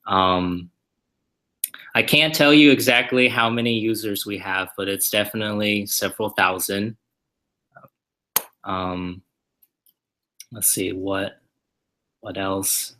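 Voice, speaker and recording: A man on company staff answering viewer questions in a casual livestream from the office, halting and full of fillers and restarts. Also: lowish voice, steady tone, pushed and bright. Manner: halting, many fillers and repeated starts. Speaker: company staff member hosting a Q&A.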